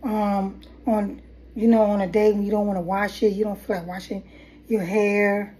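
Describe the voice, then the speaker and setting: A woman's voice: mid-range voice, flat delivery, moderate pace, dark tone, rough recording. Chatty woman with a head cold. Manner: rambling and chatty, with restarts. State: has a head cold, coughing. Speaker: hair vlogger. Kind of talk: casual DIY tutorial. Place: kitchen table at home, indoors. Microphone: phone camera at table.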